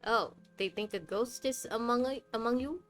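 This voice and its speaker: A woman's voice: a little high, normal speed. Woman streamer chatting n joking thru a game, faint game music. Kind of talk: casual live game commentary.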